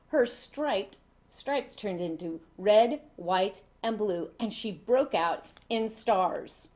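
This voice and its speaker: A woman reading a children's story aloud in an expressive way, leaning dramatically on key words. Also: mid-range voice. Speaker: storybook reader.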